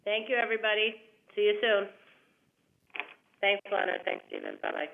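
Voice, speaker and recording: A woman moderating a conference call and briskly moving it along over a phone. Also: mid-range voice, fairly even intonation, gravelly. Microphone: telephone. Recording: noisy, muffled conference phone line.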